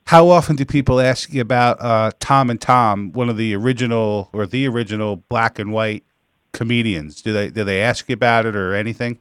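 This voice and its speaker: A man's voice: lowish voice, clean tone, no room sound, clean studio sound. A man in his forties hosting a live talk show, brash and teasing, joking crudely with his guests. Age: 40s. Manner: brash, teasing, quick to laugh.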